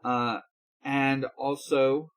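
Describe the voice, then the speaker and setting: A man hosting a podcast in casual chat, speaking in a halting way with stretched pauses. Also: medium pitch, level tone, clear, resonant tone. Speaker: podcast host.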